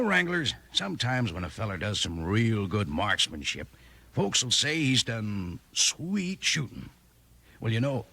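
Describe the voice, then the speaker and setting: A man with a gravelly voice doing a folksy, enthusiastic 1950s radio commercial aimed at children. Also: medium pitch, sharp tone. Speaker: commercial pitchman. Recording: noisy old radio recording.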